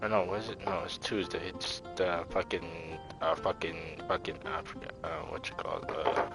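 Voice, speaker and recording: A man's voice: low voice, steady tone, normal speed, gravelly. A man chatting casually as a live streamer, rambling and swearing in loose slang. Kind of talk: casual gaming livestream chat. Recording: game music behind the voice. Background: video game music.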